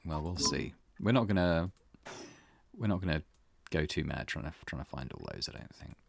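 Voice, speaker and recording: A man's voice: a bass voice, expressive, moderate pace, creaky, no room sound. A man hosting a gaming video, giving casual let's-play commentary and thinking his choices through aloud. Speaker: gaming channel host.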